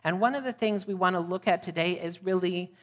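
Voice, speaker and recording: A woman's voice: fairly low pitch, fairly quick, highs cut off. An American woman giving a sermon to a congregation as a guest missionary preacher. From United States, Midwest. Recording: long hall reverb.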